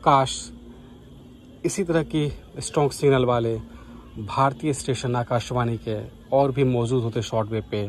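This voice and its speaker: A man's voice: medium pitch, fairly even intonation, measured pace. Man vlogging over noise. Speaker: vlogger, radio hobbyist. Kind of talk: casual vlog commentary.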